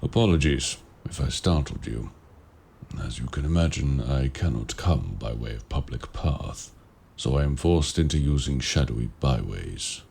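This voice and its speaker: A man playing a mysterious, courteous warlock of the woods in an audio roleplay, speaking in formal, archaic, measured phrases with a gravelly voice. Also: rumbling low, animated, a little mellow, a little hiss or hum, full character voice. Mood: courteous, composed. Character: mysterious, courteous forest-dwelling male warlock. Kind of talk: audio roleplay acting, addressing the listener.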